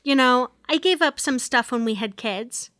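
A woman reads the part of an exasperated sitcom wife with a sarcastic edge. Her voice is high, and the sound is dry and close, as in an amateur table read. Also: moderate pace, sharp tone, dry recording.

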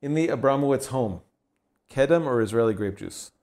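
A man hosting a casual interview, asking questions with frequent quick affirmations and laughing along. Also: medium pitch, expressive, a little mellow. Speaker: podcast host.

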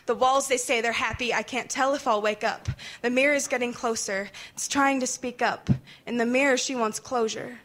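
Higher-voiced woman reciting intense spoken word poetry. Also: steady tone, moderate pace, few pauses, a bit bright.

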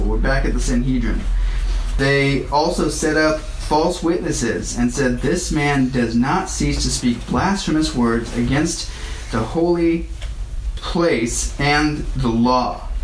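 A man delivers a sermon, talking through scripture in a loose, conversational way and lifting his voice when he reads the verses. His voice sits at an ordinary middle pitch. The room is echoey, with a faint hum of ambience behind him. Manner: conversational, reads verses aloud with rising emphasis. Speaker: pastor.

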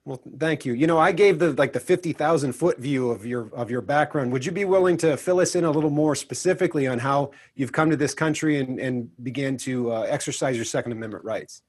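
A man's voice: mid-range voice, fairly quick, hardly any pauses. A man co-hosting an interview show, introducing a guest and asking earnest questions with some restarts. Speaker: co-host. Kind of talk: podcast interview.

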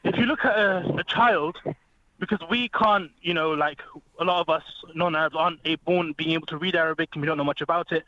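A man's voice: fairly high pitch, fairly quick, bright, forceful tone. A man speaking as a guest on a radio show, explaining at length in an earnest, fluent way. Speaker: phone-in guest. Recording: dull phone-line sound with faint hiss.

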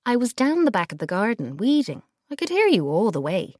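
A woman's voice: mid-range voice, sing-song, a little fast, darkish tone, no echo, clean studio sound, voice pushed up. A woman voicing a welcoming older grandmother whose voice is hard and catches in her throat. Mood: warm welcoming.

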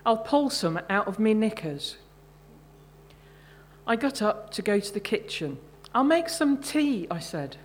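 A middle-aged woman giving a live literary reading. Her delivery is very unhurried and measured, each phrase carefully placed, at an average pitch for a woman. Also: very animated, slight background noise. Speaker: author reading from own short stories.

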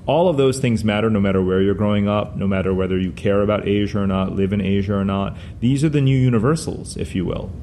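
Middle-aged guest, Hindi native speaking English, lowish voice, rambling and reflective, faint hiss. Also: male voice, quick pace, few pauses, warm tone. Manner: discursive, frequent "you know" fillers. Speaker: guest author. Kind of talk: conversational interview answers.

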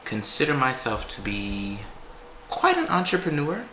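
A man's voice: medium pitch, measured pace, reverberant, a little hiss or hum, rough recording. A man in his twenties from South Carolina, a podcast guest chatting casually and playfully about his work and family. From South Carolina, USA. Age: 20s. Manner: animated, laughing, playful boasts. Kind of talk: casual chat.

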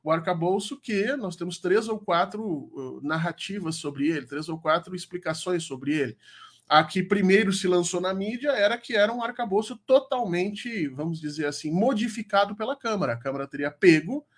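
A man's voice: high voice, normal speed. A man with a cold, speaking as a political commentator and teacher. He explains at length, with many fillers and restarts. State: congested with a cold. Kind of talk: casual live show commentary.

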